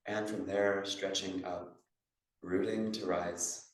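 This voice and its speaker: Low, even-voiced man in a very echoey room. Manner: measured phrasing with pauses, instructing breath and posture. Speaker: yoga and meditation teacher. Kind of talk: guided meditation in a live class. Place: yoga studio class. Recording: echoey room, distant microphone.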